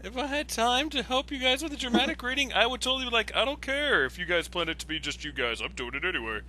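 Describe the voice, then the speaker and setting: A man ranting casually on an online voice call, exasperated and excitable, stammering and swearing when he gets worked up. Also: very high voice, fast talker. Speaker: guest commentator on an online video.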